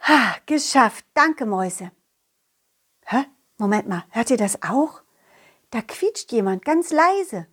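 Woman playing a high-voiced, lively storytelling dog. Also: slow, pauses often, husky, heavily acted. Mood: excited. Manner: childlike, animated, addresses young listeners directly. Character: confident, loyal male dog telling his adventures to children. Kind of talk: children's audio story narration.

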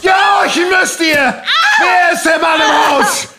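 A man playing a deranged, violent husband who rants and shouts, then pleads in a broken voice. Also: very high-pitched, huge pitch swings, slow, over a noisy crowd, very noisy, full character voice. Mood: raging. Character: deranged, violent middle-aged husband hearing knocking.

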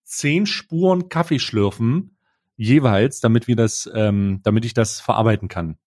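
A man's voice: medium pitch, unhurried, darkish tone. A man co-hosting a podcast, chatting casually in a rambling, joking, slangy way into a close microphone. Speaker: podcast co-host. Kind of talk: casual banter chat.

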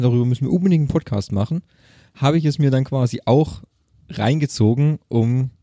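A man's voice: medium pitch, warm tone, dry recording, studio quality. A man, chatting casually and colloquially as a podcast co-host, with a joking manner. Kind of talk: casual unscripted podcast chat.

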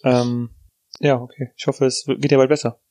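A man's voice: medium pitch, little pitch movement, brisk, mellow, no echo. Dry, teasing man on a close mic. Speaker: podcast host. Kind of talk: casual banter.

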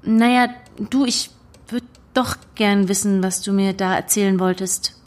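A woman's voice: medium pitch, steady tone, unhurried, lightly acted. An actress playing a guarded young activist woman in naturalistic audio drama dialogue. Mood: tentative. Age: young adult. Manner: reassuring, evasive.

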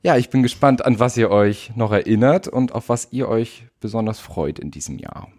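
A man of nineteen from northern Germany, hosting a relaxed podcast chat with some fillers. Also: fairly low pitch, normal speed. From Wesermarsch, northern Germany. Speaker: podcast host, youth association chair. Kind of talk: casual chat.

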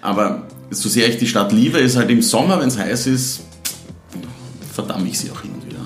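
A man's voice: medium pitch, expressive, normal speed, roomy sound, soft background music. A man from Styria with a Styrian Austrian accent, chatting in an easygoing way as a podcast guest. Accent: Styrian Austrian German. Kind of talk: casual chat.

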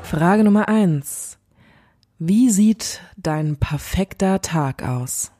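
A woman's voice: on the low side, expressive, extremely slow, mellow, soft background music. A young woman co-hosting a podcast in casual chat, teasing and laughing often. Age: young adult. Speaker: podcast co-host.

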